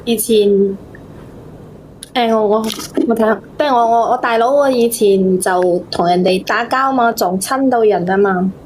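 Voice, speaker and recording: A woman with a Cantonese accent telling her story to a host as a caller, in a halting, repetitive, plaintive way. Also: mid-range voice, darkish tone, a little hiss or hum. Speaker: phone-in caller. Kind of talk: casual personal storytelling to a host.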